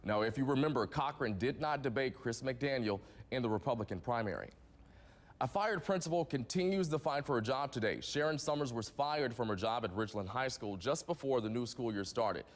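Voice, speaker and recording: A man's voice: mid-range voice, fairly quick. American male news anchor, long reverb. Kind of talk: scripted TV news reading. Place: TV news studio.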